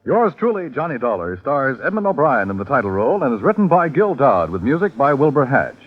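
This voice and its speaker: A man's voice: mid-range voice, very animated, fairly quick. A man giving formal announcements as an old-time radio announcer. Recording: muffled old radio broadcast with music.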